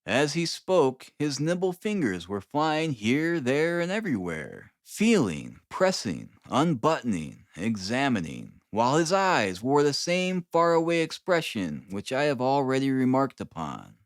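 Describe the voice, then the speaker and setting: A man narrating a classic story as an audiobook, in a measured, deliberate, dramatic reading style. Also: mid-range voice, wildly swooping pitch, no room sound, clean studio sound. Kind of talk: dramatic scripted literary narration.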